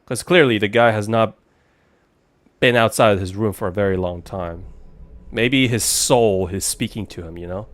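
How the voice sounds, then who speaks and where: A man's voice: lowish voice, normal speed, dry recording. A man streaming a game with casual commentary, musing aloud and chatting with his viewers. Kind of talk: casual let's play commentary.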